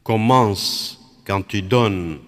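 Slow, reflective priest in a hall. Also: male voice, fairly low pitch, fairly bright tone. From Iraq. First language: Aramaic. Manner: measured, contemplative, phrase by phrase. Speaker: Dominican priest. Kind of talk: spiritual reflection.

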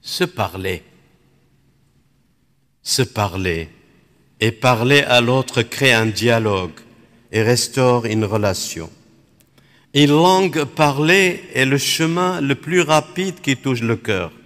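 A man from Iraq whose first language is Aramaic, a Dominican friar giving a slow reflective spiritual talk in short, measured phrases. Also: medium pitch, expressive, fairly bright tone, big-room sound.

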